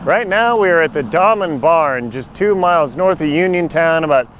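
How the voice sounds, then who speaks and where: A middle-aged man, a bit hoarse, chatting wryly to a handheld camera outdoors at a farm, with jokey asides. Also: a little high, expressive, moderate pace, fairly bright tone, slight background noise. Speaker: travel vlogger. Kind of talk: casual handheld travel vlog. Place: outdoors on a rural farm lot. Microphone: handheld camera mic at arm's length.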